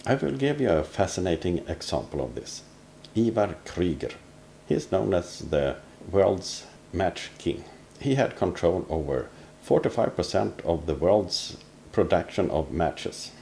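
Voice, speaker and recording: Male vlog narrator, finance consultant type, dark creaky voice, phrasing. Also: low voice, sing-song, unhurried, slight background noise. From Sweden. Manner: non-native English phrasing.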